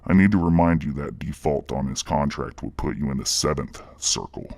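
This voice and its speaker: A man voicing a menacing reptilian demon boss with a deep gravelly growl, speaking in slow, mock-polite, condescending corporate fashion. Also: darkish tone, no echo. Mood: smugly menacing.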